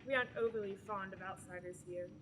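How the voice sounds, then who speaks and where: A woman's voice: medium pitch, fast, lightly acted. A young woman acting a wary townswoman in a radio play, polite but curt and dismissive. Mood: guarded. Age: teenager. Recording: noisy background.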